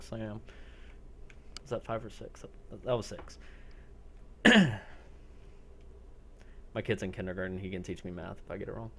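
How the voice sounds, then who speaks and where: A man acting as game master, narrating a role-play scene in a halting, descriptive way and putting questions to players. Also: on the low side, slight background noise. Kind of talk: improvised narration of a tabletop-style zombie role-play.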